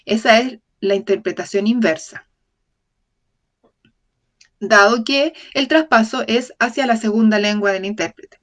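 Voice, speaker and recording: Measured, self-correcting woman lecturer. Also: fairly high pitch, a bit bright. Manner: measured, with frequent self-corrections and tag questions to the audience. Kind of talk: voiced-over lecture in an open talk series.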